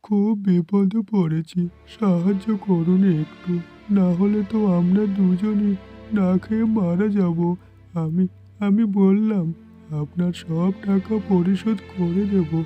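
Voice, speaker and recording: A man voicing a poor, desperate village farmer in a cartoon, pleading and haltingly repeating his words. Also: high-pitched, steady tone, narrow, tinny audio, soft background music.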